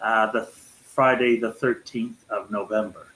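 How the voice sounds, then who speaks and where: A bishop in his fifties speaking in a measured way in an interview. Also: fairly low pitch, fairly even intonation, fairly bright tone, poor audio. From Saskatchewan, Canada. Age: 50s. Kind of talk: interview recollection.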